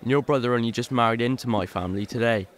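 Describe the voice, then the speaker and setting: An actor playing a blunt, teasing, protective older brother. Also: male voice, mid-range voice, rapid-fire, close to an everyday voice. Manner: blunt, mocking. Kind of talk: audio drama acting.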